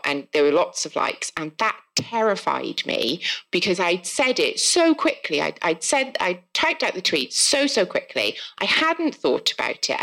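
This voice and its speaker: A woman from South Wales with a Welsh accent, a guest author chatting at a live podcast recording, self-deprecating and quick to laugh. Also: fairly low pitch, fairly bright tone.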